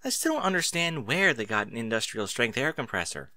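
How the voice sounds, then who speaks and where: Man voicing a gently stern pony schoolteacher mare. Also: medium pitch, sing-song, brisk, no room sound, full character voice. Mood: measured. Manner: proper, admonishing, careful diction.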